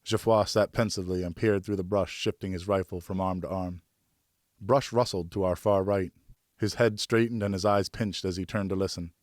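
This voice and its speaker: A man narrating an audiobook in a measured, dramatic storytelling style. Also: low-pitched, fairly even intonation, no room sound, studio quality.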